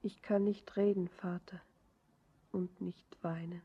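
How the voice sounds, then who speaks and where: An actress playing a despairing, devoted young bride in a poetic radio drama, speaking in halting whispers. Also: female voice, fairly low pitch, level tone, exaggerated voice. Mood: grief-stricken. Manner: breathy, halting, whispered verse delivery. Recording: dull lo-fi radio recording.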